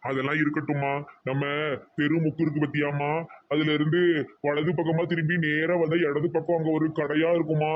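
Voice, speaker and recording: A man playing a kind, principled big-brother figure in an amateur cartoon, earnest and gently advising, with a southern Tamil Nadu accent. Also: on the high side, completely flat delivery, fairly quick, lo-fi sound, lightly acted. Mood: advising, reassuring.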